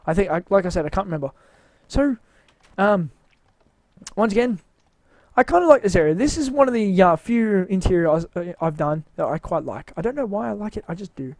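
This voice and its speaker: A man's voice: sits high, lively intonation, brisk, a little mellow, no echo. A man giving a casual, rambling walkthrough of his game build, tired and with his voice wearing out, hesitant and mocking himself.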